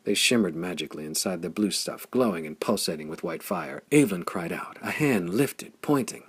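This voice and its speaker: A male audiobook narrator with a low, husky voice reads a classic pulp adventure story. His delivery is measured and lifts with the action. The tone stays deep and slightly rough throughout. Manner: measured reading that grows excited with the action.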